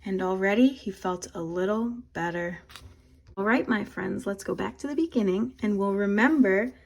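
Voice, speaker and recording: A woman teacher reading a storybook aloud and talking to young listeners with clear emphasis. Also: medium pitch, moderate pace, a little hiss or hum. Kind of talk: expressive storybook read-aloud and lesson for children.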